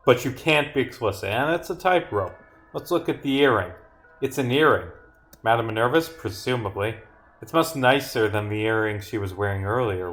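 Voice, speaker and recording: A man's voice: lowish voice, fairly bright tone. A man thinking aloud on a live game development stream, rambling and muttering as he reads script and corrects himself.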